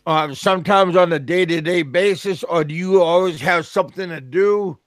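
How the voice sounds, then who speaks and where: Teasing man with a higher voice. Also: normal speed, no room sound, clean studio sound. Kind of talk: casual chat on a live show.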